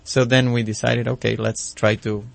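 A man in his thirties with a Romanian accent, a physician guest speaking haltingly in a casual radio interview, full of ums and restarts. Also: mid-range voice, little pitch movement, creaky.

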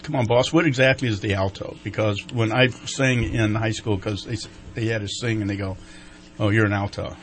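A man's voice: fairly low pitch, steady tone, a little fast. A man hosting a morning radio show in a crowded studio, chatting casually and laughing often as he coaxes guests to the mic. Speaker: radio host.

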